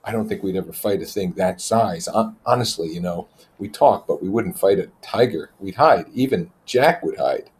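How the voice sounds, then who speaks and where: A man's voice: low voice, animated, moderate pace, few pauses, a little mellow. A man voicing a troubled, bitter but determined schoolboy chief in a storybook reading, earnest and exasperated. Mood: fearful earnest. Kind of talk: storybook character voice.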